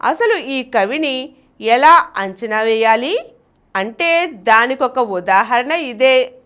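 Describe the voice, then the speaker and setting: A woman presenting a scripted literary review as a host, with formal, measured, declamatory phrasing. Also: fairly high pitch, animated, a bit bright, clear, resonant tone. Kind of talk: scripted literary review narration with recited verse.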